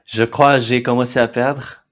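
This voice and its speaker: A man's voice: mid-range voice, little pitch movement, normal speed. A man in his thirties from India, whose first language is Marathi, chatting as a podcast guest with many fillers and short laughs. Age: 30s. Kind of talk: casual interview chat.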